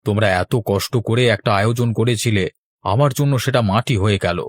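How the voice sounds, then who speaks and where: A man voicing a stern, principled middle-aged village landowner in a cartoon, speaking in a measured, formal way. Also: fairly low pitch, no room sound, natural voice. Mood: grave. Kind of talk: cartoon voice acting.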